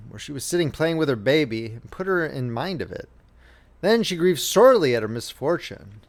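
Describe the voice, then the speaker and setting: Animated male storybook narrator. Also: fairly high pitch. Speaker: audiobook narrator. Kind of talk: scripted narration, fairy-tale storytelling.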